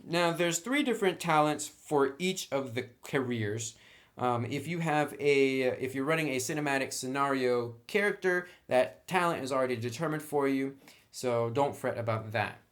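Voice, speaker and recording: A man with a medium-pitched voice talks through a tabletop game in a casual review style. He explains things conversationally and gives his own opinions as a reviewer. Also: animated, normal speed, fairly bright tone.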